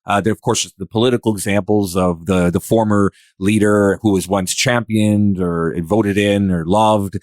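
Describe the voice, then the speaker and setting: A man speaking in a casual, conversational podcast discussion, with a halting, rambling way of working through his thoughts. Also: lowish voice, steady tone, darkish tone, no room sound, clean studio sound. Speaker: podcast participant.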